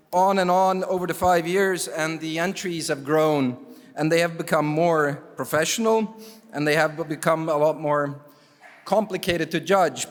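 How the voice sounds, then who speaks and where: A man from Canada presents awards from a conference stage, slightly high in pitch and wry in tone. He stops on fillers as he goes. The stage microphone carries his voice into a long, echoing hall reverb. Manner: relaxed, wry, halting with fillers. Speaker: jury chair presenting awards. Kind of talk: ceremony speech with asides.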